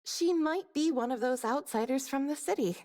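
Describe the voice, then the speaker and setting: A woman's voice: sits high, moderate pace, warm tone, big-room sound, close to an everyday voice. An actress playing a practical, matter-of-fact woman soldier.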